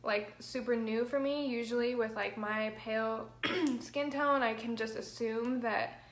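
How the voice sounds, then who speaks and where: A young woman with an allergy-hoarse, crackly voice, chatting through a makeup livestream, rambling with lots of "like" and "um". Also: a little high, fairly even intonation, measured pace, echoey room. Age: young adult. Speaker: makeup vlogger. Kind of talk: chatty get-ready-with-me livestream. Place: small bedroom, indoors. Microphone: built-in mic of a camera at arm's length.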